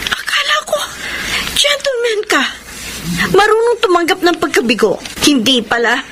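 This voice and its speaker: Very high-pitched, defiant young Filipina daughter. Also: female voice, huge pitch swings, normal speed, fairly bright tone, a little hiss or hum, full character voice. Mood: indignant. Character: distressed, defiant young daughter pushed to marry. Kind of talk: radio drama acting.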